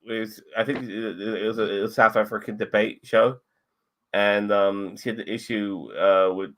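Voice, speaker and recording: A man from hosting a podcast in casual chat, relaxed and halting. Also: lowish voice. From London. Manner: relaxed, halting, many restarts. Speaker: podcast host.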